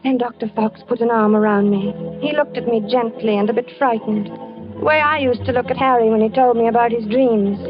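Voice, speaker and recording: An actress playing an anguished, haunted wife in an old-time radio thriller, speaking and narrating with hushed dramatic intensity. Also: female voice, fairly high pitch, a little fast, natural voice. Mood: grim, haunted. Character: anguished wife haunted by her husband's fatal dreams, narrating. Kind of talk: old-time radio suspense acting, first-person narration. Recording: dull vintage radio recording with music.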